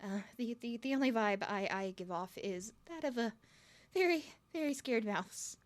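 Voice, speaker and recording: A woman's voice: a little high, expressive, normal speed, natural voice. A woman playing a nervous, smitten young woman on a first date in an ASMR roleplay, stuttering and rambling with flustered giggles. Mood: shy, earnest.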